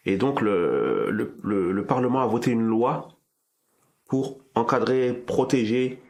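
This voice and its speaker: A man with a mid-range voice hosts a relaxed discussion. He thinks aloud, restarting phrases and repeating words as he builds his point. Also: mid-range voice, takes it slowly, gravelly. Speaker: podcast host. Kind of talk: casual discussion.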